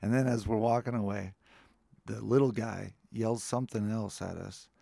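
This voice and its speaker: A male musician tells stories in a relaxed, rambling way. His voice is very low and gravelly. The recording is dry and close. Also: moderate pace, no room sound. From Colorado. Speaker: musician and podcast guest. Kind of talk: casual storytelling in an interview.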